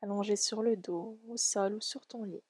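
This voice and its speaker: A woman leading a guided relaxation meditation in a soft, soothing, gentle and unhurried way. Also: medium pitch, fairly even intonation, husky, no echo. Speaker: yoga nidra guide.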